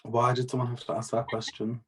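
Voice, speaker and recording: A man's voice: mid-range voice, completely flat delivery, fairly quick, a bit bright. A man in his late twenties co-hosting a casual chat with joking, teasing banter. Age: late 20s. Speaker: co-host.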